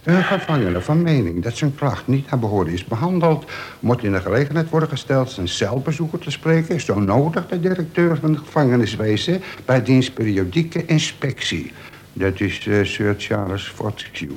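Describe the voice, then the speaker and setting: A man's voice: mid-range voice, expressive, moderate pace, darkish tone, lightly acted. A man acting a cynical, coarse-talking veteran convict in a Dutch radio play. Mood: knowing, instructive. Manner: coarse slang, reels off regulations by rote. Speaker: actor. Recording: faintly noisy old radio play recording.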